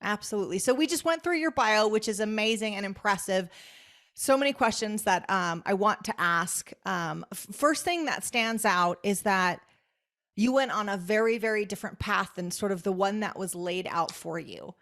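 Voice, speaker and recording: A woman's voice: medium pitch, normal speed, fairly bright tone. A woman hosting an interview show, enthusiastic in her welcome and asking questions with a few ums and sort ofs. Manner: enthusiastic, uses fillers like um and sort of. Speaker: podcast host.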